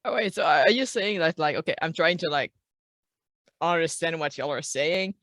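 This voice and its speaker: A woman's voice: deep voice, moderate pace, fairly bright tone, no room sound, clean studio sound. A woman playing a tabletop game, chatting informally and thinking aloud as she asks questions. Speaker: player. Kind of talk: casual game-table chat.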